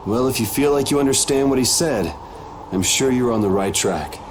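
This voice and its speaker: Male actor, cool young game hero, dry and casual, mid-pitched, clear ambient noise behind. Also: animated, moderate pace, close to an everyday voice. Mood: calm, decisive. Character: cool, laid-back young male swordsman leader. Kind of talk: English video game dub acting.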